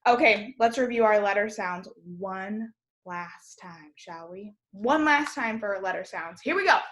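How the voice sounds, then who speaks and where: A woman's voice: medium pitch, lively intonation, measured pace, fairly bright tone. An American woman in her thirties teaching young children as a kindergarten teacher, encouraging and enunciating with exaggerated care in a call-and-repeat drill.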